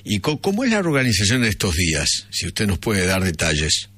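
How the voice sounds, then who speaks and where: A male host with a Rioplatense accent, running a news interview with brisk questions. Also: low voice, wildly swooping pitch, sharp tone, slightly rough, no echo. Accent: Rioplatense Spanish. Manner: brisk, short acknowledgements. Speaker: radio host.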